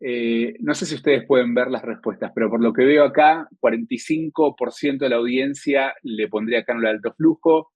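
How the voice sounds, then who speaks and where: A middle-aged man from Argentina with an Argentine accent, an intensive care doctor giving an online lecture in a measured way, with many hesitant fillers. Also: mid-range voice, no echo, lo-fi sound. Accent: Argentine Spanish.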